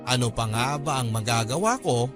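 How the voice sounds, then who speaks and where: A man's voice: mid-range voice, normal speed. Man narrating radio love story over music. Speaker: radio storyteller reading a letter. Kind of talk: first-person dramatic narration in classic Filipino radio style.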